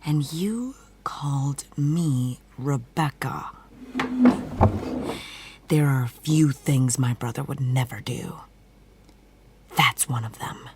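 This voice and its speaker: A woman playing a defiant young sister in a supernatural drama, speaking deliberately and often dropping to a whisper. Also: a bass voice, darkish tone, husky, full character voice. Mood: cold suspicion. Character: tense young woman, defiant sister confronting brother's possessor. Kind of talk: dramatic scripted acting.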